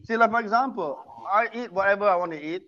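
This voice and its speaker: A man's voice: high-pitched, quick pace, pushed and bright. Emphatic man in his sixties, lo-fi audio. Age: 60s. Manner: emphatic, repeats himself to make a point. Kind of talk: casual chat. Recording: muffled lo-fi audio.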